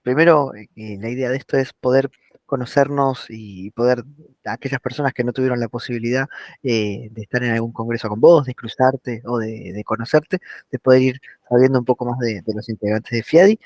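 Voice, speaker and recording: A man's voice: mid-range voice, brisk, darkish tone, no room sound. A man with a Rioplatense Spanish accent, hosting a casual interview and circling hesitantly around his questions.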